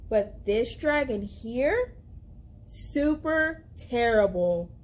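A young woman reading a children's picture book aloud as a library storyteller, with expressive, deliberate, dramatic emphasis. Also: on the high side. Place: indoors, library among bookshelves.

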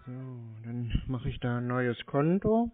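A man talking casually on the phone as a customer, acting a little slow and naive. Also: mid-range voice, sing-song, soft background music. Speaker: prank caller posing as customer. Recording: narrow phone-quality sound.

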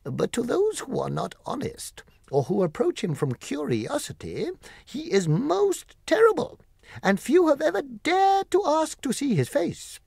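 Stern pompous gatekeeper, man acting him out, raised swooping pitch, theatrical warnings, husky, storybook reading. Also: wildly swooping pitch, unhurried, darkish tone, no room sound, full character voice. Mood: grave warning. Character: stern, pompous green man guarding city gates.

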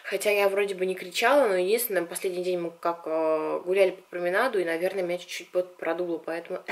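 A woman in her twenties with a slightly husky, worn voice, chatting casually to the camera as a vlogger. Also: lowish voice, fairly even intonation, fast, hardly any pauses. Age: 20s. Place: indoors, small room at home.

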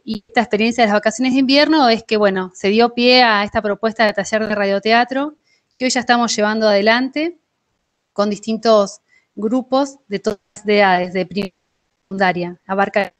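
A woman's voice: mid-range voice, moderate pace. A woman in her thirties with an Argentine Spanish accent, presenting in an online talk as an organiser. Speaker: workshop organiser. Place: indoor kitchen at home. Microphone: earphone mic held near mouth, video call.

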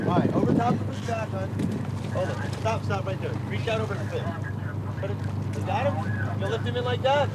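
A man captaining a fishing boat coaches his anglers in quick, brisk directions. His voice sits high and his delivery moves fast. Heavy boat engine and wind noise surround him out on open water. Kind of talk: instructive on-location talk.

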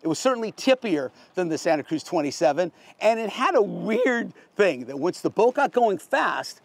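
American male tour presenter, higher-pitched, folksy and unscripted, explanatory delivery, very dry sound. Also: sing-song, moderate pace, vocal fry, no echo. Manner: plain-spoken, folksy, explanatory. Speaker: boat reviewer, veteran sailor. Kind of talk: casual unscripted boat tour talk.

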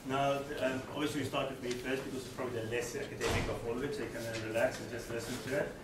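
A man's voice: medium pitch, racing. A man in his forties with a South African accent giving an informal conference lecture from a lectern, with many ums and restarts. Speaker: trauma surgeon giving a keynote. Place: darkened lecture room, standing at a lectern. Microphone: lectern mic picked up by a distant camera. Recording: echoey room, far camera, steady background noise.

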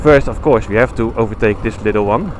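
A man's voice: lowish voice, fairly quick. A man with a Dutch accent talking casually while riding a motorcycle, rambling and correcting himself as he goes, recorded on a helmet camera mic. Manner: rambling, chatty, self-correcting. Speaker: motovlogger. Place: outdoors on a motorcycle on country roads. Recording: engine and wind noise under voice. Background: motorcycle engine and wind.

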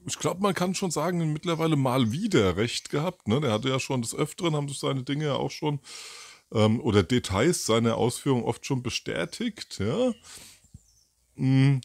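A man's voice: mid-range voice, expressive, normal speed, gravelly, a little mellow, no echo. A man in his fifties doing a casual solo commentary outdoors by a garden, rambling with many fillers and self-corrections, into a mic with a furry windscreen. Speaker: host of a commentary show. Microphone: furry windscreen mic near camera.

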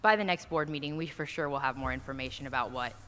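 Woman, school administrator, low pitch, casual, filler words, big auditorium, long reverb. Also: fast talker, fairly bright tone. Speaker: school administrator reporting to the board. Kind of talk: answering questions at a school board meeting. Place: large school auditorium stage. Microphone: standing podium mic from a far camera. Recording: echoey hall, faint noise.

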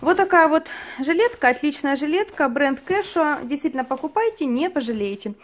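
Woman host, high voice, chatty and detailed home product review. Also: lively intonation, brisk. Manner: chatty, thorough, many fillers and diminutives. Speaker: channel host reviewing clothing. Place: indoors at a table, filming only her hands.

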